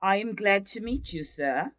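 A woman's voice: medium pitch, expressive, slow pace, a bit bright, no echo. A woman reading scripted dialogue lines for a language course, carefully enunciated.

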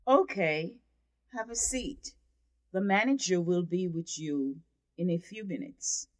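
Slow, careful woman reading lesson dialogue. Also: fairly low pitch, no room sound. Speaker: dialogue reader in a language course.

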